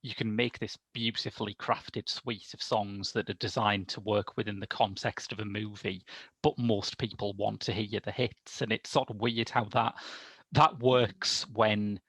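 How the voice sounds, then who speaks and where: A man's voice: lowish voice, little pitch movement, a little slow, a bit bright, slightly rough, dry recording. A British man with a wry manner hosting a casual film discussion from home on a headset. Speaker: podcast host, film reviewer. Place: home room.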